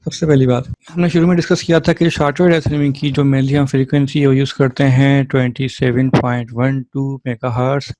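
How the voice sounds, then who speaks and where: A man gives an informal lecture as a physiotherapy teacher, in a mellow, relaxed voice that stays very even in pitch. He explains step by step, drops in English terms and adds short check-in questions. The sound is dry, with almost no room in it. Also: brisk, few pauses, no room sound, rough recording.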